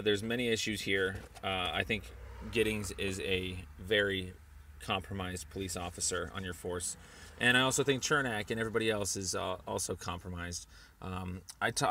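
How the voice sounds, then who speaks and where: A man leaving a rambling voicemail, speaking in a hesitant, halting but insistent way, on a recorded phone call. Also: sits low, creaky, sharp tone, a little hiss or hum.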